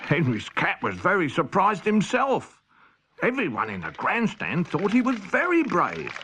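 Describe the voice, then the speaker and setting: Male children's storyteller: high-pitched, animated, swooping delivery, theatrical. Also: unhurried, a loud crowd, heavy background noise. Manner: expressive, theatrical. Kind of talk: scripted children's audiobook narration.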